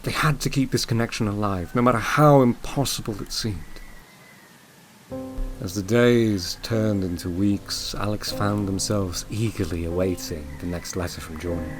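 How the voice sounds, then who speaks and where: An actor playing the narrator of an audio drama, telling a solemn story in a measured, dramatic way. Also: male voice, fairly low pitch, lightly acted.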